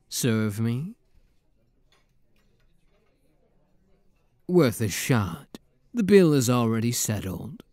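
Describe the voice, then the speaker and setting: A man's voice: on the low side, a little mellow, no room sound, full character voice. A man acting a suave, teasing demon prince in a romantic roleplay audio drama, with a courtly, flirtatious drawl and playful mock menace. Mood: coaxing, suave.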